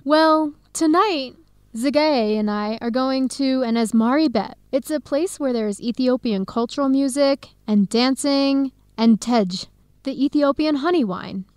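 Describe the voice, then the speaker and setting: A woman playing a cheerful, adventurous young American in a scripted radio drama, speaking clearly and brightly with an American accent. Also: sits high, unhurried, dry recording. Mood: friendly, upbeat. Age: young adult.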